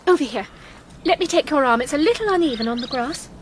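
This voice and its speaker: A woman playing an eager, warm-hearted English vicar's wife in a radio drama, with an exclamatory manner. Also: sits high, lively intonation, fast, a little hiss or hum. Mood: caring.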